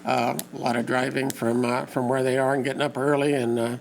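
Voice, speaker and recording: A hoarse man who is losing his voice speaks at a community meeting, pausing often with fillers in plain, folksy wording. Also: medium pitch, flat delivery, slight background noise. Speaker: community meeting organizer and lake info page host. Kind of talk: informal public talk to a local meeting.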